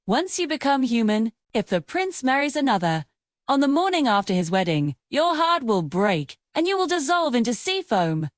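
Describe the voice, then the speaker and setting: A menacing female sea witch , as read by a woman storyteller, in a storybook tale. Her high voice swings expressively as she lays out ominous, deliberate warnings. The sound is dry and close. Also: sing-song, dry recording. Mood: grave warning. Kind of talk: storybook narration character.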